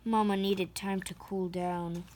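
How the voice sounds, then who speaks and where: A woman reading a children's picture book aloud with deliberate, expressive phrasing. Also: mid-range voice. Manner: slow, deliberate, with emphatic phrasing.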